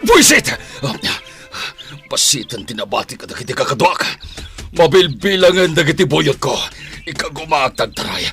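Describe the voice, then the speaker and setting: A man playing a snarling, menacing gang boss in a radio drama, shouting and gloating at his men and enemies. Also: fairly high pitch, very animated, normal speed, soft background music. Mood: rattled, desperate. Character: snarling male villain, gang boss commanding armed men. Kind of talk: Ilocano radio drama acting.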